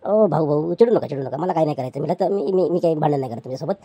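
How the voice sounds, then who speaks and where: A woman's voice: low-pitched, expressive, rapid-fire, warm tone, breathy edge, dry recording, heavily acted. A woman voicing a poor, meek male chair seller who pleads and placates with stammering restarts. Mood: placating.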